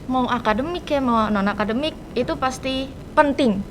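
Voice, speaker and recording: A teenage young woman, giving casual answers as a student guest on a podcast. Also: moderate pace. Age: teens. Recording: rumbly background noise.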